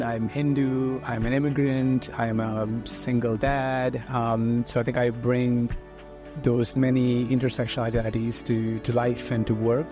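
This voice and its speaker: A man from India, speaking in a measured, reflective way in an interview testimonial. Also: mid-range voice. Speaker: employee. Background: guitar music.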